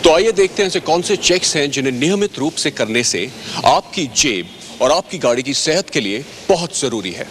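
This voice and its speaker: A man delivers a scripted training presentation as a service advisor. His pitch moves in a lively way as he courteously instructs the viewer, with faint vehicle and ambient noise behind him. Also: normal speed.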